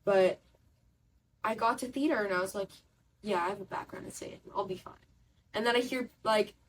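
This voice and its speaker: Brief: teen girl singer, mid-pitched voice, eager chat, distant mic. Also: a little fast. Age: teens. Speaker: young theater student, singer. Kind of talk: casual chat. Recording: distant mic, faint room noise.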